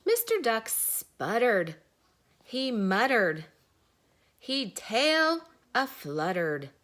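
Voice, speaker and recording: Lady teacher reading a kids book, bright voice, really slow with dramatic pauses. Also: on the high side, sing-song. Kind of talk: animated children's story read-aloud.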